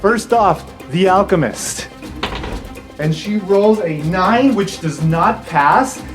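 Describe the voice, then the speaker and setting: A game master narrating an improvised tabletop fantasy game in a casual, expressive storytelling way. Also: mid-range voice, slow. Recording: roomy echo, guitar music behind. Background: guitar music.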